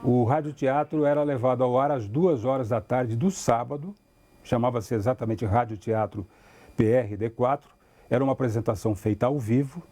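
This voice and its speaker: Husky, mellow Brazilian man reminiscing. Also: mid-range voice, moderate pace, faint music behind. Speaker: documentary interviewee. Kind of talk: reminiscing in an interview.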